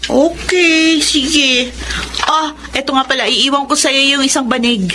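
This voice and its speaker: A woman's voice: high voice, fairly even intonation, a little slow, background noise. A woman playing a pushy, cheeky younger sister in a radio drama, wheedling with a Filipino accent.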